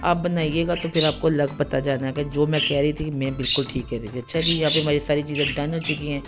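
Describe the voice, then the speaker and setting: A woman's voice: low-pitched, fairly even intonation, fast, over loud music. A woman, hosting a home cooking channel, talking through a recipe in a chatty voice-over full of fillers. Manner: chatty, repeats "ठीक है जी", many fillers.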